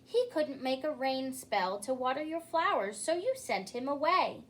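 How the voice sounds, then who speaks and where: A woman's voice: sits high, expressive, measured pace, slight background noise. A woman voicing a brave, outspoken girl knight from a storybook, with an urgent, plain-spoken manner. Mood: frank.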